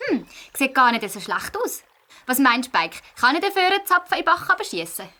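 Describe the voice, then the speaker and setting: A woman playing an excitable young fox, easily discouraged, in a children's audio play with a Swiss German accent. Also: high-pitched, brisk, full character voice. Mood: hopeful.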